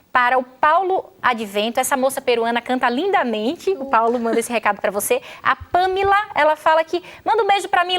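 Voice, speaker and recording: A woman's voice: sits high, fairly bright tone. A Brazilian woman hosting a youth TV show, chatty and upbeat, reading out viewers' messages. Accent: Brazilian Portuguese. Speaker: TV show host.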